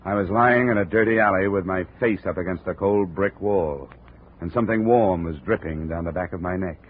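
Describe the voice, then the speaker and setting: An actor playing a hard-boiled insurance investigator who narrates a 1950 radio drama in a terse, tough way. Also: male voice, deep voice, a little fast, husky. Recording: tinny old radio broadcast with hiss.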